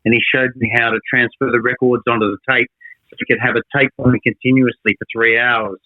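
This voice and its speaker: A man's voice: medium pitch, little pitch movement, fairly quick, no echo. A man, a guitarist guest, speaking hesitantly in a casual interview over a phone line. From Australia. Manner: hesitant, repeats his words.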